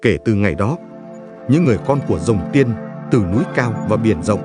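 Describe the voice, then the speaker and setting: A man with a fairly low voice tells a legend as a scripted narrator. His delivery is solemn and measured, and music plays clearly behind him. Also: lively intonation. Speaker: story narrator.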